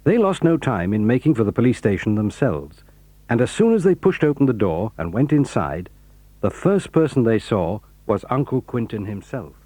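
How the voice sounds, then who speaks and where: A man with a British accent narrating a children's adventure story in a measured, lively way. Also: mid-range voice, no echo.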